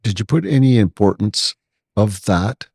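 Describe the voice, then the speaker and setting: Deep-voiced man asking interview questions. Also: expressive, unhurried, creaky, darkish tone, slightly rough, no room sound, clean studio sound. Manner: brief questions and backchannels. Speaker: podcast host.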